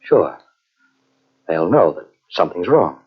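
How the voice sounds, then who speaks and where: An actor playing a brave man in an old-time radio drama, speaking in an earnest, controlled, halting way. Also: deep voice, big pitch swings, breathy edge, exaggerated voice. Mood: quietly reassuring. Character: brave male companion trapped in a tomb, quietly reassuring. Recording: dull, muddy old cassette radio recording.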